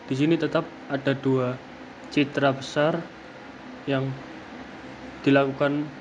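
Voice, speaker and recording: A young man gives an explanatory academic presentation as a student, speaking haltingly in short phrases. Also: mid-range voice. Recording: dull lo-fi audio, clear background noise.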